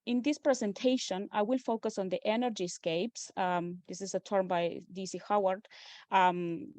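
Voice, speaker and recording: A woman's voice: mid-range voice, fairly even intonation, normal speed, darkish tone, dry recording. Argentinian woman giving an academic lecture. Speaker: guest speaker. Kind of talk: academic lecture over video call.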